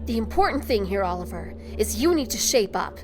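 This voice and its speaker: A woman's voice: mid-range voice, very animated, brisk, music in the background, natural voice. A woman playing an exasperated, hurt younger sister in an audio drama, scolding and emphatic. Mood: hurt, disappointed.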